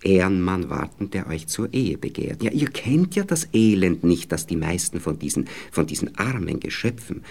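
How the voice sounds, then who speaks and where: A man's voice: sits low, sing-song, moderate pace, vocal fry, few pauses, a little mellow, full character voice. An actor playing a smug, moralizing husband in a radio play, with an Austrian accent, talking down to his young wife as he gropes for words. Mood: pompous, explaining. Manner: patronizing, hesitant, groping for words.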